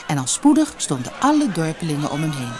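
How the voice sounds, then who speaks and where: A woman reading a fairy tale aloud as an expressive scripted storyteller. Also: low voice, fast talker, a faint crowd, slight background noise.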